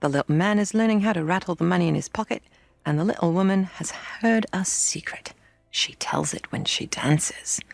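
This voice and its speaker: Storybook village girl, acted by a woman: chirpy, chatty, polite, teasing, animated pitch. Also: normal speed, vocal fry, dry recording. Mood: cheerful, teasing. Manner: bright, chatty, prompt politeness.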